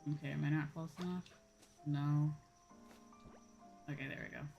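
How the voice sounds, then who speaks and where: A woman's voice: low-pitched, fairly even intonation, moderate pace, gravelly, muffled recording, soft background music. A woman livestreaming a game with casual commentary, chatting to viewers and rambling as she thinks aloud. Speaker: livestreamer playing a farming game.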